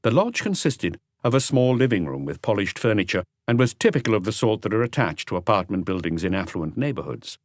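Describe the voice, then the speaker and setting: Low-voiced man narrating with lively intonation. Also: brisk, few pauses, no echo, clean studio sound. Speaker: audiobook narrator. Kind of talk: scripted literary narration of a mystery novel. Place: close, dry recording space.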